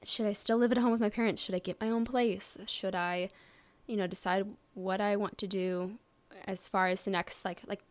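A woman's voice: mid-range voice, steady tone, moderate pace. Chatty young woman rambling casually. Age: 20s. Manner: chatty, rambling, frequent likes and you knows. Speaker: podcast host. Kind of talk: casual solo chat.

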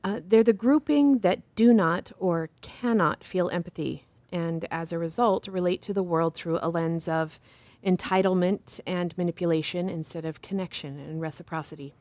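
A woman acting as an assured advocate interviewed in an audio drama, explaining things in a measured, didactic way. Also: lowish voice, darkish tone, dry recording, close to an everyday voice. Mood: composed, instructive. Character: composed, assured female advocate explaining justice reform. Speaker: interview guest.

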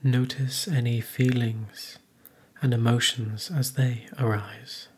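A man guides a meditation in a mid-pitched, strongly breathy voice. He speaks very slowly and gently, leaving long pauses between measured phrases. His intonation stays flat and even throughout. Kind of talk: guided meditation, soothing instruction.

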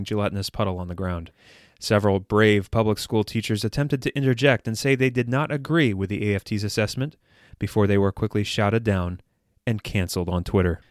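A man's voice: fairly low pitch, no room sound. Man reading mock-serious news in dry sound. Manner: mock-serious newsreader delivery. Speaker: podcast host. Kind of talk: satirical news report reading, scripted narration.

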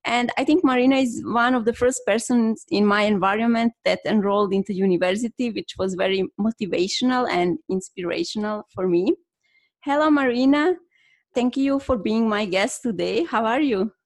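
A woman's voice: a little high, normal speed. A woman speaking as a podcast host, introducing and interviewing in a prepared way. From Serbia. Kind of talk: scripted introduction and interview questions.

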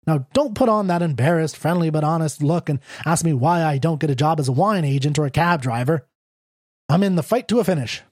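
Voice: mid-range voice, a little fast, a little mellow, no room sound, clean studio sound, natural voice. A reader voicing a proud, down-and-out male writer who talks bluntly and sardonically. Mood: sardonic. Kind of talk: audiobook character reading.

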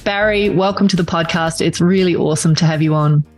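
A woman's voice: lowish voice, fairly even intonation, fairly quick, soft background music. A woman, hosting an interview podcast. She speaks directly and pushes with follow-up questions. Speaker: podcast host. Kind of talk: interview host.

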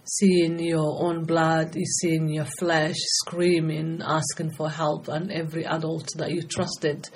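A woman's voice: sits low, little pitch movement, takes it slowly, hardly any pauses, husky, low-quality recording. A middle-aged woman from Somalia, a guest speaking earnestly and passionately in a podcast interview. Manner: earnest, passionate, talkative, frequent restarts. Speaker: guest, anti-FGM campaigner. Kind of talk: podcast interview, personal testimony.

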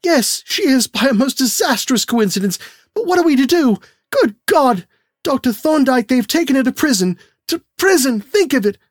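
Man voicing a panicked middle-aged father, gasping, desperate, very high and loud. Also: very animated, normal speed, dry recording, studio quality, full character voice. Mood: frantic.

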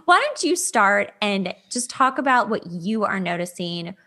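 Woman, podcast host, medium pitch, casual dating-advice chat, conversational. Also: sing-song, measured pace, bright, forceful tone.

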